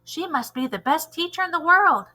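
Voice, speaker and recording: Woman playing an easygoing, naive man, brightly voiced. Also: extremely high pitch, expressive, normal speed, pitched above the performer's own voice, full character voice. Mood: impressed. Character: easygoing male school yard teacher, naive and cheerful.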